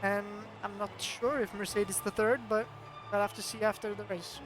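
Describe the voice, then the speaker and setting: High-pitched man, Norwegian accent, non-native English, excitable race commentator, clear music playing behind. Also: normal speed, low-quality recording. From Norway. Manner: chatty, excitable, laughs often. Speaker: sim racing commentator.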